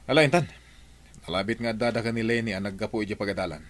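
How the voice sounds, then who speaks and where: A man's voice: fairly low pitch, quick pace, close to an everyday voice. A man voicing a commanding, impatient head of household in a radio drama. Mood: brisk.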